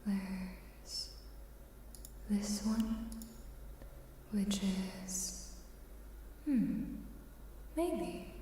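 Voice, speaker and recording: A woman chats softly in an ASMR livestream, often slipping into a whisper. Her delivery is very slow and mellow, with long pauses. Heavy reverb washes over her voice. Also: mid-range voice. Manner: soft-spoken, often dropping into whispers, unhurried with pauses. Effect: added reverb. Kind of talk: ASMR, casual chat with viewers. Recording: very reverberant hall-like echo, distant, faint noise.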